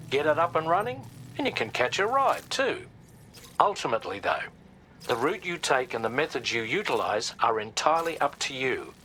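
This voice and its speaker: An actor playing a plain-spoken logistics advisor in a video game, briefing in a methodical, slightly folksy, instructive way. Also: male voice, mid-range voice, pushed and bright, slight background noise.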